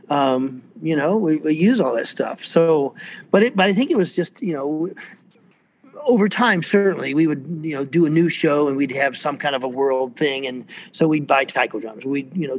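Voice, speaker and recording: A man with a squeaky voice, a freelance percussionist chatting casually and rambling in an interview. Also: a little hiss or hum. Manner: rambling, self-deprecating, chuckles often.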